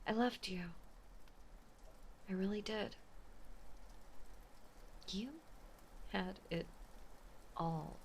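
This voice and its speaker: A woman playing an obsessive, possessive mafia heiress ex-girlfriend in a dramatic audio roleplay, with intense, menacing, theatrical delivery and a breathy voice. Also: mid-range voice, drawn-out words, long pauses, a little hiss or hum. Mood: hurt, bitter. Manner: intense, menacing, theatrical, swinging between hush and outburst. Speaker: voice actor.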